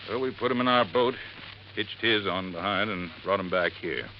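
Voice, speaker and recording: An actor playing an earnest, folksy American resort handyman in 1950s radio drama, telling things in a rambling, unhurried way. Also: low voice. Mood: troubled, earnest. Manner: folksy, rambling storytelling with dropped formal grammar. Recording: dull, slightly noisy vintage radio recording.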